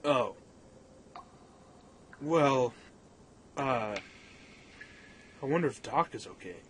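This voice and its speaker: A man's voice: medium pitch, animated, very slow, a bit bright, a little hiss or hum. A man playing a cautious, earnest young pilot in a radio play, with a slightly hesitant manner. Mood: uneasy, subdued.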